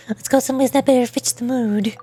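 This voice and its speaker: A woman's voice: fairly high pitch, steady tone, normal speed, dark tone, soft background music, pitched below the performer's own voice, lightly acted. A woman voicing a cheerful, eager young woman fireworks maker from game dialogue. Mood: bubbly, eager. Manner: bubbly, curious, enthusiastic. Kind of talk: reading game dialogue aloud on a stream.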